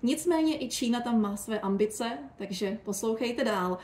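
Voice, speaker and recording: A woman's voice: fairly high pitch, expressive, a little mellow. A woman hosting an informal interview podcast, asking questions and addressing listeners. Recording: roomy, echoing sound.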